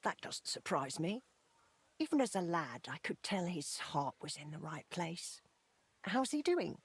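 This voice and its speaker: An actress playing a kindly, wistful older widow and plain-spoken townswoman with a husky voice in a video game. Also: lowish voice, very animated, unhurried, exaggerated voice. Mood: surprised, wistful. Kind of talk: video game dialogue acting.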